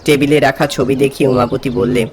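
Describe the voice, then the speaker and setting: A man's voice: medium pitch, level tone, fairly quick, darkish tone, slight background noise, close to an everyday voice. An actor playing a curious, polite male office junior who narrates his own horror story. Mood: calm storytelling. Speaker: narrator and character. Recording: music behind the voice. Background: background music.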